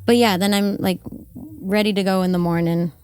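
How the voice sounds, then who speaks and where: Slightly hoarse young woman, chatty. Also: mid-range voice, moderate pace, dry recording. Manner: chatty, with frequent fillers and soft trailing off. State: slightly hoarse from karaoke and yelling. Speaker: podcast guest. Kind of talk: casual interview chat. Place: van-life festival in the desert.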